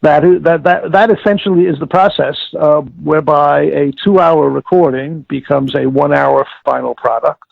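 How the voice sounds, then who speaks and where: An older man being interviewed, explaining and reminiscing in a deliberate, halting way with formal wording and self-corrections, in a gravelly, husky voice. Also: fairly high pitch, steady tone. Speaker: interviewee, former audio producer-director. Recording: tinny phone-like line with room echo.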